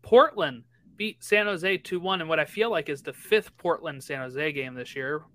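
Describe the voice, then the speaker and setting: Guy reading off scores fast on a soccer podcast, bright sharp voice. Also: on the high side. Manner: rattles through results quickly. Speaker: podcast co-host. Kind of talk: casual sports chat.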